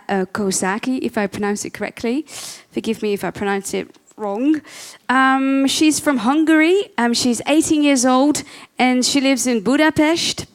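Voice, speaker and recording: Casual female event host with fillers. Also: a little high. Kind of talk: introducing a guest. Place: event venue.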